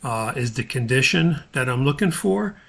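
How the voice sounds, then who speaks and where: A man with a gravelly, husky voice narrating an unscripted spreadsheet tutorial as an instructor, thinking aloud in a halting, step-by-step way. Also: mid-range voice, lively intonation, fairly bright tone.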